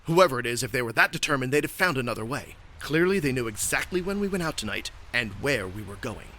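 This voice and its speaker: A man's voice: medium pitch, animated, fast, sharp tone. A man playing an earnest, stoic caped crime-fighter, speaking solemnly and straight-laced in a comic audio drama. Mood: solemn, concerned.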